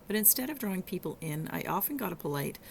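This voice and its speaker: A middle-aged woman with a hoarse, rough voice hosts a solo teaching podcast, coaching listeners in a conversational way with some halting restarts. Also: fairly low pitch, expressive, slight background noise. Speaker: podcast host and virtual assistant coach.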